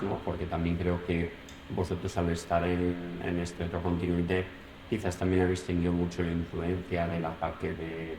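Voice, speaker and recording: Spanish guy hosting a podcast, low flat voice, lots of ehs, echoey room. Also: slight background noise. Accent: Spain Spanish. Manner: hesitant, lots of fillers. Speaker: podcast host. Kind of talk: interview questions.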